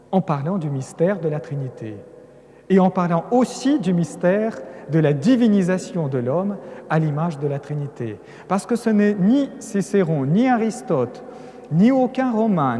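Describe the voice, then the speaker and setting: A man who is not a native French speaker gives an earnest Lenten conference talk, stacking phrases into emphatic build-ups and restarting words now and then. His voice is fairly high and mellow. A long cathedral reverb trails behind every phrase. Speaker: lecturing cleric. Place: large cathedral.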